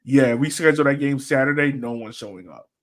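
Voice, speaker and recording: A man talks as the host of a casual sports fan podcast. His voice sits at a middle pitch, and he rambles along in loose, colloquial language. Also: brisk. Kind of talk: casual soccer fan chat.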